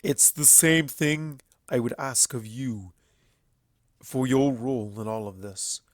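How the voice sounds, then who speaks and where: A man voicing a bitter, grieving, proud ancient stallion in a storybook reading, forceful and declamatory. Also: mid-range voice, slow, no echo, studio quality, close to an everyday voice. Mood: grim, accusing. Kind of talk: dramatic fan fiction character reading.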